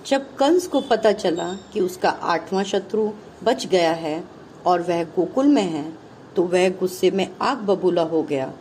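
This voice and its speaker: A woman narrating a scripted puppet show for children, delivering the story deliberately, phrase by phrase. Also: lowish voice, a little mellow, a little hiss or hum, rough recording.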